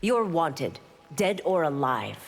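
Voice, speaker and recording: An actress playing a stern, resolute law officer and warrior in a video game, with a firm, formal manner. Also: female voice, low voice, big pitch swings, slow, soft background music, exaggerated voice. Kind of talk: video game heroine acting. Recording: reverberant arena with clear background noise.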